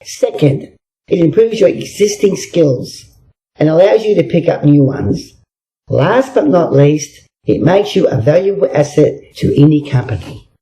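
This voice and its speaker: A man's voice: on the high side, animated, a little slow, mellow, low-quality recording. A man reading a scripted motivational text aloud. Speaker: reader.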